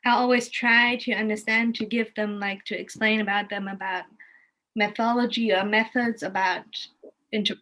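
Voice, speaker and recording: A woman with a German accent, a native German speaker, casually explaining her work in a halting way as she thinks aloud. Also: medium pitch, steady tone, sharp tone, rough recording. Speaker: interviewed art educator giving museum tours.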